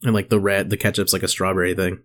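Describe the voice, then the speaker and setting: A man's voice: sits low, little pitch movement, fast talker. A man co-hosting a podcast, talking casually in a laid-back, slangy way. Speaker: podcast co-host. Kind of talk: casual banter, with some reading aloud.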